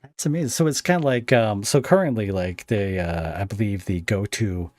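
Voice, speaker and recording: A man's voice: lowish voice, normal speed, a little mellow, dry recording. A man hosting a casual interview podcast, talking in a rambling, self-deprecating way.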